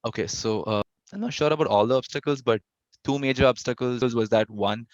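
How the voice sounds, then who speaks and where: A man's voice: mid-range voice, fairly quick, dry recording. A young man answering interview questions casually, with a stutter and frequent restarts. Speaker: interviewee, fest founder. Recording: dull lo-fi dry audio.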